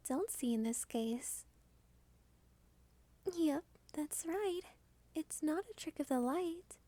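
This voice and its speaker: A cute female vampire, played by a woman for an ASMR roleplay, speaks in a high, mellow voice at an unhurried pace. She is soft-spoken and courteous, with a coy, teasing edge and a quietly possessive, dominant temper. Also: no echo. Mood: teasing, confiding.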